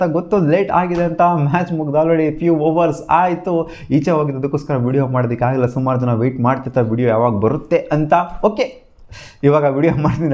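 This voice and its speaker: A young man talks through a cricket match in a casual, lively way. He gestures as he speaks from a seated spot in a small home studio. He slips English words into his talk. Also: on the high side. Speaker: cricket channel host. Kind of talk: casual post-match analysis. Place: small home studio with gaming chair and lamps.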